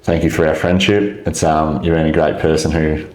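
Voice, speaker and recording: A man's voice: extremely low pitch, level tone, normal speed, roomy sound. A man with an Australian accent hosting a podcast, speaking in an easygoing, thankful way. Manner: easygoing, thankful, short backchannels. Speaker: podcast host. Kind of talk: casual interview chat.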